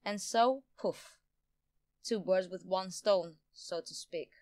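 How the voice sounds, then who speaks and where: A woman's voice: medium pitch, slow pace, darkish tone, no room sound, natural voice. Woman acting a haughty, scheming villainess, dry close sound. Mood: smug, boastful. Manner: theatrical, pompous, self-satisfied. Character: scheming, haughty woman politician, power-hungry and smug. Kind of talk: audio drama villain acting.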